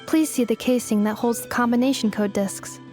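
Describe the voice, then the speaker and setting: A woman voicing a calm, literal-minded young female game character with a measured, formal, matter-of-fact delivery. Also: a little high, over music, lightly acted. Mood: flat, earnest. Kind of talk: video game voice acting.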